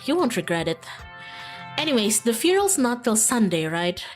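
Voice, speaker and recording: A woman's voice: a little high, big pitch swings, moderate pace. A woman voicing a friendly, easygoing young small-town woman in a visual novel, chatty and upbeat. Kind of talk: visual novel character reading. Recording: music in the background.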